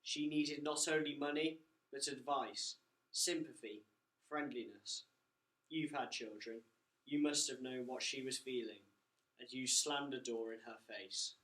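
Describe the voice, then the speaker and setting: A man's voice: medium pitch, fairly even intonation, measured pace, a bit bright, roomy sound, close to an everyday voice, poor audio. A teenage boy playing a stern, accusing police inspector in a school radio play, grave and insistent.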